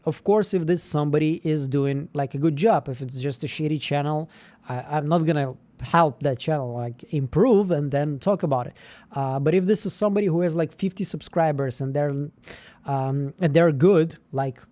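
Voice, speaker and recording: A man's voice: fairly high pitch, dark tone. A chatty man, hosting a livestream. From Israel. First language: Russian. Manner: rambling, with frequent fillers and restarts. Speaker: livestream host. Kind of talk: casual livestream chat.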